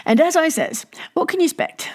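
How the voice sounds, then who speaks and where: A woman's voice: very high-pitched, lively intonation, a little fast, voice pushed up. A woman voicing a good-humoured male innkeeper with a rural English dialect, talking in a hearty, emphatic way. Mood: indignant.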